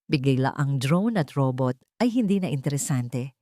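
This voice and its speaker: Female narrator, Filipino accent, deep register, lively melodic delivery for an audio drama. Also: moderate pace, no room sound, studio quality. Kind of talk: expressive scripted storytelling.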